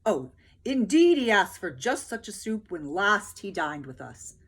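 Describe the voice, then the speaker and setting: Woman reading as a husky, crafty coaxing soldier. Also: mid-range voice, sing-song, exaggerated voice. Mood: wistful, grand. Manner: drawn-out, emphatic, coaxing. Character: crafty male soldiers coaxing villagers, wistful and grand.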